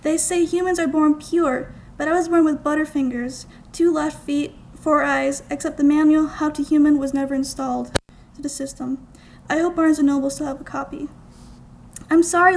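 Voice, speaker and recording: A young American woman gives a scripted reading of her own creative writing. Her voice is high with a noticeable creak, and she phrases lines deliberately and expressively. It is a live gallery recording with faint background noise. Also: a little mellow, rough recording. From United States, small-town Minnesota. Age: young adult. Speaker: student writer reading own work. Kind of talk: scripted literary reading aloud. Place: college art gallery, indoors.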